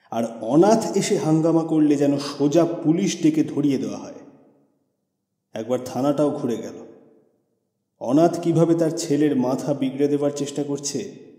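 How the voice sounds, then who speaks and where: A man's voice: on the high side, dark tone. A man reading a short story aloud as dramatic scripted narration. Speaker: audio story narrator and reader. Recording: echoey reverberant room with music bed. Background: background music.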